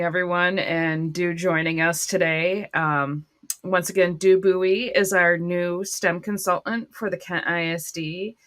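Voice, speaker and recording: A woman's voice: lowish voice, fairly bright tone. A woman hosting a casual podcast interview, friendly and asking her guest questions. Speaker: podcast host.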